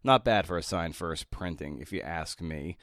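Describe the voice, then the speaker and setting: A man's voice: low voice, normal speed, a bit bright, no room sound, clean studio sound. A man hosting a podcast, moving between scripted introduction and interview questions. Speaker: podcast host.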